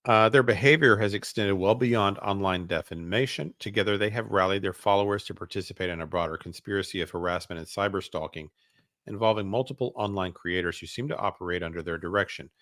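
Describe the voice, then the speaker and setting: A man's voice: low-pitched, fairly bright tone, dry recording, studio quality. A man hosting a show, reading documents aloud and adding casual commentary with hesitant asides. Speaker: show host.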